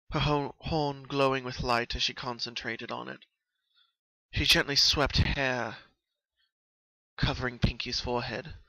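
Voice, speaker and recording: Guy reading story narration dramatically, bright forceful voice, really dry recording. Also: medium pitch, no room sound, rough recording.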